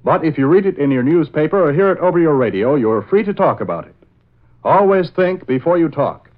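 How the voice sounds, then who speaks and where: Emphatic male radio narrator, 1940s announcing style, slightly high pitch, muffled vintage sound. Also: animated, brisk. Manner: dramatic, emphatic. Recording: dull lo-fi vintage radio recording.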